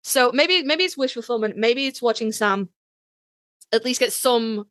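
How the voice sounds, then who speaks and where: A woman with a fairly high voice chats casually as a podcast co-host. She is emphatic and teasing and swears freely. The sound is very dry, with no room around it. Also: animated, normal speed, a bit bright, dry recording. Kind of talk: casual fan chat about a TV series.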